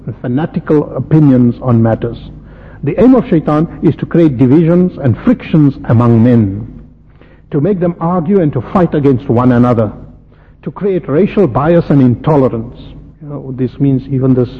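A man's voice: mid-range voice, breathy edge. A man preaching an impassioned Islamic sermon, emphatic, with repetition and rhetorical questions. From South Africa. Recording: muffled, reverberant hall with background noise.

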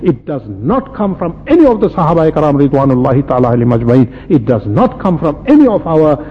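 Man, preacher, fiery sermon, rhetorical questions, from South Africa, long hall echo, faint hiss. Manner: impassioned, rhetorical questions, swinging between loud peaks and soft low asides. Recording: lo-fi phone-like radio broadcast with faint hiss and long hall reverb.

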